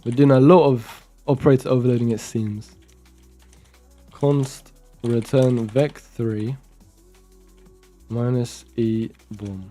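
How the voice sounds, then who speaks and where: A man's voice: medium pitch, fairly even intonation, takes it slowly, pauses often, warm tone. Young man muttering code aloud, faint music. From Romania. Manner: muttering code aloud while typing, chatty asides. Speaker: programming streamer. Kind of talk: casual live-coding commentary.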